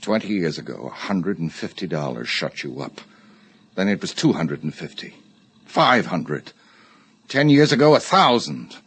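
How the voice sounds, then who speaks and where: An actor playing a proud, hot-tempered middle-aged judge under threat in a radio drama, curt and indignant. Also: male voice, low-pitched, big pitch swings, moderate pace, a bit bright, husky, heavily acted. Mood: bitter.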